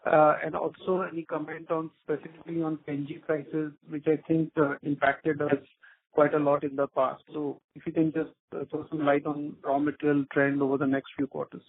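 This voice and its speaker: A man's voice: a little high, level tone, moderate pace, dry recording. A man politely asking an analyst's questions on an earnings call. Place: phone call. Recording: narrow muffled phone line.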